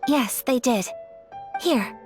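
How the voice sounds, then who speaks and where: An actress playing a young noble lady who speaks in a polite, formal, measured way in a video game. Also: high-pitched, animated, music in the background.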